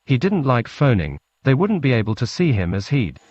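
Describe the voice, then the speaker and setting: A man with a Scottish accent reads a literary story laced with Scots words in a deadpan, clipped manner. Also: medium pitch, normal speed. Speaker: narrator, storyteller. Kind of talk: literary story reading in Scots-flecked English. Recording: muffled lo-fi recording with background noise.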